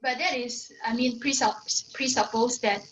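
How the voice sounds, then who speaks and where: A woman's voice: on the high side, fairly even intonation, measured pace. A woman from Singapore, talking casually about her planned talk show and asking thoughtful questions. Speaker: aspiring talk show host. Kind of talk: casual chat. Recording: slightly dull lo-fi audio with faint noise.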